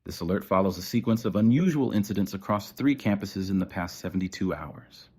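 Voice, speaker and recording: Low-pitched man reading an ominous official announcement. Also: animated, a little mellow, husky. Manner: formal, measured, ominous. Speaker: narrator of an analog horror broadcast. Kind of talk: scripted horror narration as an official emergency announcement.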